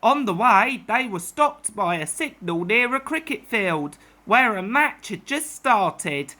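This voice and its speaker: A woman storyteller reading a children's tale aloud in an expressive narrating style. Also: mid-range voice, takes it slowly.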